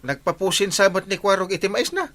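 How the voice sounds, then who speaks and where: A man's voice: sits high, very animated, brisk, fairly bright tone. A man playing a lazy, whining, jokey village captain in an Ilocano radio comedy, with an Ilocano Filipino accent and chuckling exclamations. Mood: grumbling.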